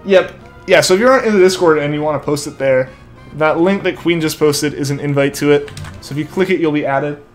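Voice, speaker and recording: A man's voice: a little high, brisk, faint music behind. Rambling young man on a live stream. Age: young adult. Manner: rambling, filler-heavy, keeps saying like. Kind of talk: casual live-stream chat, reading viewer comments. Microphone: headset mic feeding back to headphones.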